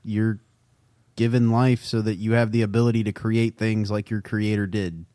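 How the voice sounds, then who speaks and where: Deadpan, low-voiced man in dry sound. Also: moderate pace, no echo. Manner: dry, deadpan, erudite wit. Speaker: podcast co-host. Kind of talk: casual chat.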